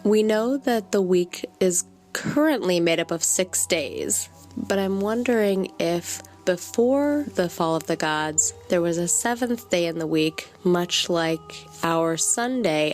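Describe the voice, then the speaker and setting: A woman speaking conversationally as a listener putting a question to a podcast. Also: on the low side. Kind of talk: recorded fan question, conversational.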